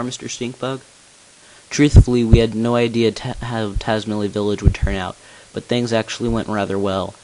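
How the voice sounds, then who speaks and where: A man playing a grave, weary elder leader in a video game story, delivering a measured, solemn explanatory monologue. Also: lowish voice, darkish tone, lightly acted, low-quality recording. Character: grave, weary male village elder revealing secrets. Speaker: amateur voice actor auditioning. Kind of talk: scripted dramatic monologue, game narrative. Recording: hissy home microphone recording.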